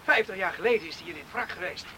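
An actor playing an eager, wondering young farm lad from the coast in a radio drama. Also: male voice, high-pitched, expressive, fast talker. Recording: very noisy old radio play recording.

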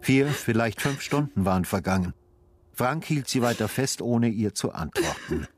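A man narrating a literary radio drama in a sombre, measured and grave style. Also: medium pitch, expressive, no room sound.

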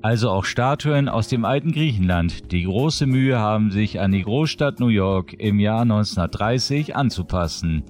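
A man's voice: lowish voice, expressive, moderate pace, few pauses, darkish tone, faint music behind. A man presenting a roundup of new releases in scripted announcement narration.

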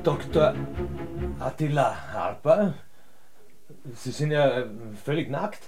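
An actor playing a flustered, earnest investigator in a comic radio drama, with a hesitant, stammering delivery. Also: male voice, a little high, lively intonation, slow pace, over music. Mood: alarmed, baffled. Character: flustered, hesitant man investigating a murder case.

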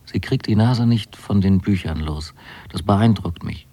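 Dark husky young guy, wary lodger, hesitant confiding monologue in a radio play. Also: low voice, a little slow. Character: uneasy, watchful young son lodging among strangers. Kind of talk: intimate radio drama monologue, spoken as a letter.

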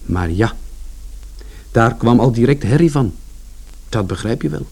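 Casting: male narrator, medium pitch, aggrieved and long-winded, colloquial radio-play storytelling. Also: lively intonation, a little slow, vocal fry, a little mellow. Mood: explanatory, wry. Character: indignant, long-winded man telling his side of events.

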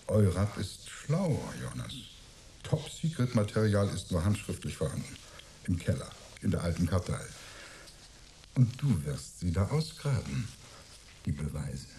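A man's voice: low voice, big pitch swings, creaky, dark tone, a little hiss or hum, full character voice. An actor playing a sly, chummy shady fellow in a crime radio play, wheedling and smug as he speaks. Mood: smug, conspiratorial. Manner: wheedling, smug, drawn-out words. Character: sly, chummy shady old acquaintance in hiding. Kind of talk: German sci-fi crime radio play acting.

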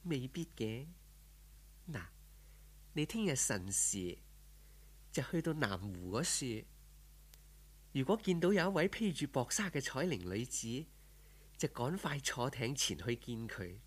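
A man voicing a gentle, solemn female spirit in a Cantonese folk tale, speaking formally and with calm authority. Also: medium pitch, measured pace, pauses often, slightly rough. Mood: calm, instructive. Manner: solemn, formal, calmly instructive. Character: serene, gentle female spirit turned heavenly disciple. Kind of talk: audiobook folk tale character.

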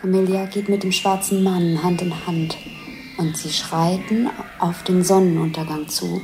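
Low-voiced woman describing scenes over music. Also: little pitch movement, unhurried, mellow. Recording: music behind the voice.

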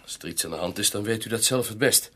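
A male actor playing a loyal, deferential doctor in a radio drama, with a husky voice and an earnest manner. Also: on the low side, fast, a bit bright. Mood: sober, reassuring.

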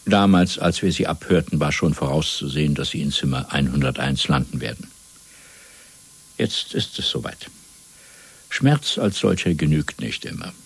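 An actor playing a menacing, controlled state interrogator in a radio play, speaking in a deliberate, clinical manner with a rough, husky voice. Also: male voice, rumbling low, expressive, full character voice. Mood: accusing, cold. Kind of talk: 1970s German radio play acting.

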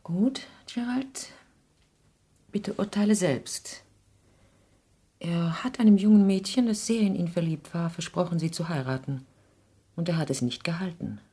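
A wounded, protective mother in old radio drama style. Her voice is deep for a woman and rough-edged. She speaks solemnly and haltingly, with middle-aged gravity. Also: warm tone. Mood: sorrowful. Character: grave, wounded, protective mother with a bitter secret. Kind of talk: 1960s German radio drama acting.

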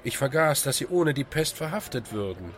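An actor playing a calm, wry, probing volunteer leader, speaking with dry irony in a radio drama. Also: male voice, mid-range voice, moderate pace, slight background noise, lightly acted.

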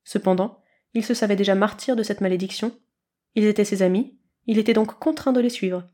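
Expressive woman narrating a story. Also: mid-range voice, fairly quick. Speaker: narrator, podfic reader.

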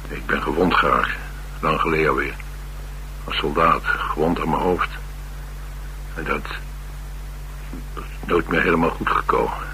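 An actor with a Netherlands Dutch accent playing a troubled, blunt ex-soldier and restless drifter in a radio drama, wry and halting in delivery. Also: male voice, a bass voice, steady tone, pushed and bright, full character voice, low-quality recording. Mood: troubled, uneasy. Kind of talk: radio drama dialogue acting. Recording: radio drama with clear hiss and hum.

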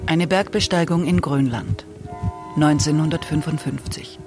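A man's voice: on the high side, measured pace, over music. A man reading out scripted titles and dates as an announcer in a radio feature.

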